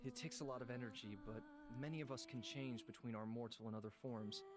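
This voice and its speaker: Gentle wandering god played by a man, music behind. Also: medium pitch, steady tone, quick pace, natural voice. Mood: earnest, gentle. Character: gentle wandering male former harvest god. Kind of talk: scripted visual novel dialogue. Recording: game music under the voice.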